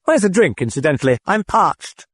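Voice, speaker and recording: A man voicing a sardonic demon possessing a girl, speaking in a high, mocking, falsely cordial voice. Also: big pitch swings, normal speed, slightly rough, no echo, studio quality, full character voice. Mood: mock cheerful.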